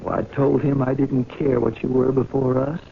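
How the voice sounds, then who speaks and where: An actor playing an earnest, intense younger brother on a farm in a 1970s radio drama. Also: male voice, mid-range voice, flat delivery, moderate pace, vocal fry, natural voice. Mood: tender. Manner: intense, accusing. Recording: muffled old radio recording with noise.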